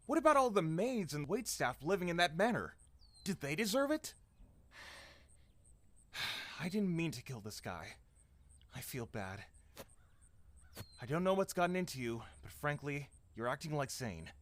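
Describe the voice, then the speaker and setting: A man's voice: fairly high pitch, very animated, measured pace, pauses often, fairly bright tone. An actor playing a blunt, sarcastic, defensive man in an audio drama. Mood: accusing. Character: blunt, exasperated grown man, defensive young traveler.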